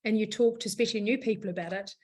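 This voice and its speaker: Hoarse woman, chatty business founder. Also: medium pitch, steady tone, racing, darkish tone. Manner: rambling, many fillers and restarts. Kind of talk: casual interview chat.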